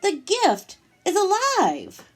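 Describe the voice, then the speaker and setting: A woman voicing an excited little girl in a children's storybook, exclaiming with gleeful delight. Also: very high voice, slow, faint music behind, pitched above the performer's own voice, heavily acted. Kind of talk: children's storybook read-aloud.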